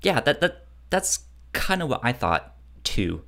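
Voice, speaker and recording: A podcast co-host chatting casually about books in a halting way, often trailing off and rephrasing. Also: mid-range voice, big pitch swings, a bit bright. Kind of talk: casual book discussion chat.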